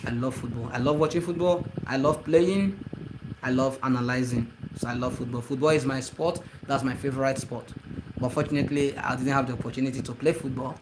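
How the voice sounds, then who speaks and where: A man's voice: mid-range voice, a little fast. Nigerian man chatting on a noisy livestream. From eastern Nigeria. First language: Igbo. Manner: repetitive, greets commenters excitedly by name. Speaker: live stream host. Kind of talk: casual live stream chat.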